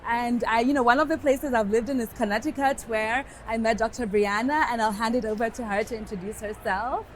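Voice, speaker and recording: A woman's voice: a little high, fairly even intonation, fairly quick, hardly any pauses. A woman from Kenya with a Kenyan accent, an upbeat dermatologist hosting a podcast recorded live at a conference. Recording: live event with background noise.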